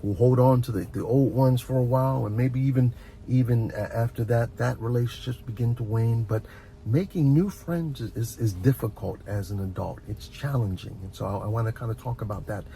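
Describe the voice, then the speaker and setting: A middle-aged man talks through heartfelt advice in a mellow, fry-edged voice. He is reflective and earnest, often stopping to repeat a word before carrying on. A faint low rumble of noise sits behind him. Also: a little fast, low-quality recording. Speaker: host of a relaxation and advice channel. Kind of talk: informal heartfelt advice monologue.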